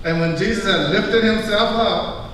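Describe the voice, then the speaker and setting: A man narrating a scripture story live in a church, delivering it deliberately, phrase by phrase. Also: high voice, a bit bright, slight background noise. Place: large church, recorded live. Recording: echoey church hall, far mic, faint music.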